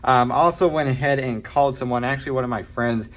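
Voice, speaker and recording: A man giving a casual, informal product review, talking in a halting way and hedging his opinions. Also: medium pitch. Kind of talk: casual unboxing and review talk.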